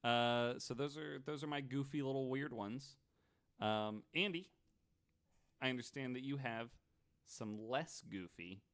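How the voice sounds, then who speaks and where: A man's voice: medium pitch, a little slow, a bit bright, no echo, studio quality. A man hosting a podcast, telling stories casually among friends and acting out both sides of retold dialogue, with some swearing. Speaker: podcast host.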